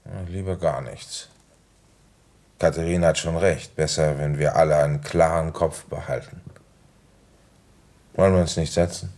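An actor playing a weary village police inspector in a radio play, speaking in a deliberate, reflective way with pauses mid-thought. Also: male voice, a bass voice, fairly even intonation, darkish tone, slight background noise. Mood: calm, knowing. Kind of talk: first-person radio play narration and dialogue.

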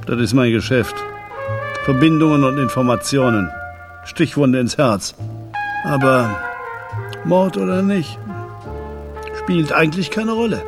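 Radio drama, smooth, menacing moneylender: patronising, lively intonation, casually threatening, music underneath. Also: male voice, medium pitch, unhurried, long pauses. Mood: quietly menacing. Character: menacing, patronising loan shark with smooth familiarity. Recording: music playing behind the voice.

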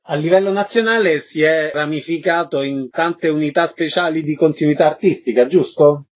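A man's voice: on the high side, moderate pace. A man putting interview questions as the host of a radio programme. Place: radio broadcast. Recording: slightly dull, lo-fi radio audio.

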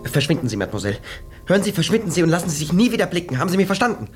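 An actor playing a gruff police lieutenant in a German radio drama, terse and insistent in his questioning. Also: mid-range voice, lively intonation, normal speed, soft background music, lightly acted. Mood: angry. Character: gruff, curt male police lieutenant pressing hard.

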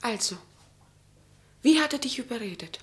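Actress as an anxious, highish-voiced young mistress. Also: female voice, expressive, moderate pace, lightly acted. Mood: troubled, intense. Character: earnest, anxious young mistress, intense and pleading. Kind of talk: German radio drama acting.

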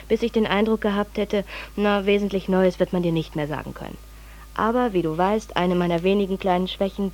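A woman's voice: mid-range voice, darkish tone, lightly acted. Woman acting pedantic female journalist, overwhelmed, self-ironic, articulate, radio drama letter monologue, mid-range voice.

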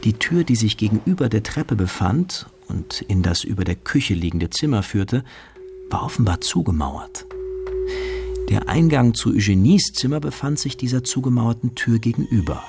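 A man acting as literary narrator of a radio drama, reading scripted prose in a measured, gravelly voice. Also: medium pitch, expressive, darkish tone, a little hiss or hum. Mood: composed.

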